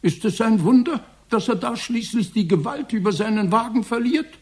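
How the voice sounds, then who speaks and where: An actor playing an embittered, grieving old former mayor in a radio crime drama, speaking in a grandiose, lamenting, declamatory way. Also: male voice, high-pitched, lively intonation, normal speed, husky, heavily acted. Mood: bitter grief. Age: older.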